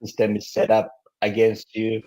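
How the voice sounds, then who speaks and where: A middle-aged or older man with a Bulgarian accent, speaking candidly and haltingly as he tells his story as an interview guest. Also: on the low side, completely flat delivery, warm tone, low-quality recording. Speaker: guest, company founder.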